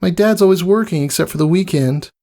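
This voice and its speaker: A man's voice: high voice, brisk, warm tone, pitched above the performer's own voice. A man voicing a scrappy, shy young female pony foal, hesitant and childlike, in a storybook reading. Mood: explaining, earnest. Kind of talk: character voice in fan fiction reading.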